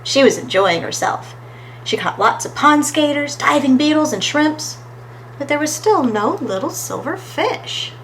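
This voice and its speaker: A woman reading a children's story aloud as an expressive storytime narrator, recorded with faint background noise. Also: sits high, measured pace, poor audio. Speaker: storytime reader.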